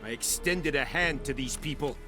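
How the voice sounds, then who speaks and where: A stern imperial officer played by a man in a fantasy game. He is cold and exacting, with a medium-pitched voice and clipped, formal authority. Music plays clearly behind him. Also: moderate pace, lightly acted. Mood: cold, controlled. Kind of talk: cinematic fantasy game acting.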